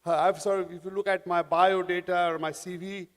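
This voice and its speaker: A man, giving a conference talk in a halting way with many restarts. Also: high voice, level tone. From India. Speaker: panel speaker. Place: large conference hall. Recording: long hall reverb.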